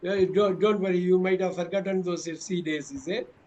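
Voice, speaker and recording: A man with a high voice and an Indian English accent runs a mock speaking exam for his students. He coaches them through each question and keeps repeating his praise. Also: steady tone, fairly quick, darkish tone, dull audio, a little hiss or hum. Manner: encouraging, repeats praise often.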